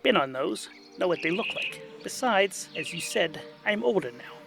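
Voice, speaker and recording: A man voicing a grumpy, gravelly ageing adventurer. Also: sits high, expressive, moderate pace, music in the background, pitched above the performer's own voice. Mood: irritated, reluctant. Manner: curt, reluctant. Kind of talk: comedic fantasy audio drama.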